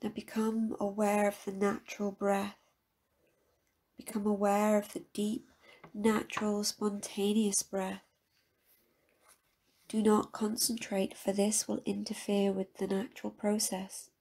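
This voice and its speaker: A woman guiding a scripted meditation in a calm, measured, soft-spoken manner with a husky, breathy voice. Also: medium pitch. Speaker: meditation guide. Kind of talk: guided meditation, scripted soothing narration.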